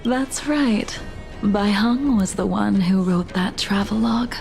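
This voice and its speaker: An actress playing a solemn, wistful veteran swordswoman in a video game, speaking formally with a hushed, breathy voice. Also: medium pitch. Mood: quietly fond. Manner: formal, composed, deliberate. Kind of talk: English game voice acting, slow and measured. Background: background music.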